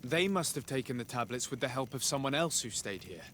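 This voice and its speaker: An actor playing a composed, firm envoy in a video game, polite, formal and direct. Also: male voice, medium pitch, animated, a little fast, fairly bright tone, slight background noise, lightly acted. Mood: probing. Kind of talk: video game cutscene acting.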